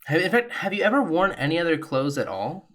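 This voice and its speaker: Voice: medium pitch, big pitch swings, brisk, a bit bright, pitched above the performer's own voice. A tabletop player voicing a defensive, easily needled youngster with a blunt manner.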